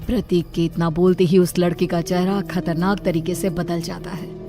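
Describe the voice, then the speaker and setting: A woman's voice: fairly low pitch, little pitch movement, normal speed, over music. A woman storyteller reading a scripted narration for a story.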